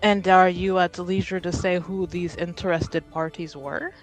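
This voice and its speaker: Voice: medium pitch, little pitch movement, a little slow, natural voice. Officious attendant acted by a game master, guarded, formal, probing, prominent background music, tabletop roleplay. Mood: suspicious, probing. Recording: music playing behind the voice.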